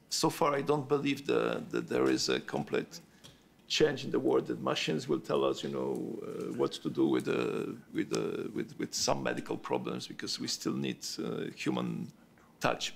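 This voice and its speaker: Gravelly-voiced man speaking haltingly on a panel. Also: medium pitch, a little hiss or hum. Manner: halting, many restarts. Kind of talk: panel discussion remarks.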